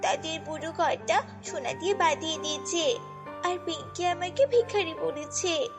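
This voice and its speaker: A woman voicing an excitable, pleading little girl in a children's fairy-tale cartoon, with a childlike manner. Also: very high voice, normal speed. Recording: long reverb, music bed.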